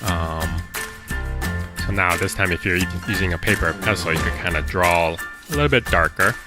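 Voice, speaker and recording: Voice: low-pitched, moderate pace, loud music behind the voice. A storyteller and drawing teacher talking to children in an informal way, often thinking aloud.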